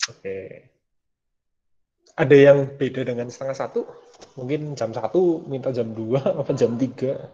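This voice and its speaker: A man's voice: mid-range voice, measured pace, long pauses, dark tone, slight background noise. A young male lecturer talks through an online class wrap-up, hesitating and restarting often. His mid-range voice comes through a dull, lo-fi call line. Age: 20s. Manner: hesitant, many fillers and restarts. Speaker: university lecturer. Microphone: video call.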